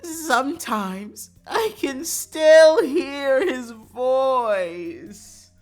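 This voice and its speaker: Grieving widow, put on by a game streamer: high, very slow, drawn-out melodrama over music. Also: expressive, a little mellow, exaggerated voice. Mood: mock grief. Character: mock-grieving widow mourning a dear husband.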